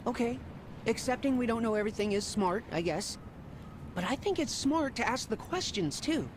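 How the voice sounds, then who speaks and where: A woman's voice: a little high, lively intonation, normal speed, background noise, natural voice. A woman playing an eager, imaginative young Spartan boy in a video game, chatty and inquisitive, with music underneath. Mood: thoughtful. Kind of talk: dramatic video game acting.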